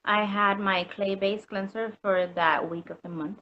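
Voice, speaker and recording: A woman's voice: mid-range voice, fairly even intonation, unhurried, highs cut off, a little hiss or hum. Chatty woman from Puerto Rico. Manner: chatty, lots of ums and likes, laughs easily. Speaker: customer guest. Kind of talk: casual interview chat.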